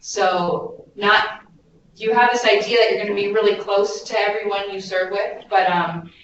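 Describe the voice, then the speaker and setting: A woman's voice: medium pitch, fairly even intonation, normal speed, fairly bright tone. A young American woman, a volunteer, explaining everyday life abroad casually on a group call. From United States. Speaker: Peace Corps volunteer. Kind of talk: casual informational group talk. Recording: distant, echoey, dull, hissy conference call.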